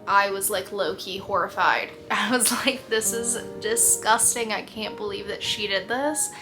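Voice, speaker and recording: A young woman chatting casually in a reading vlog, expressive and full of "like", losing her voice. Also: mid-range voice, a little slow, music in the background, low-quality recording. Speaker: book vlogger.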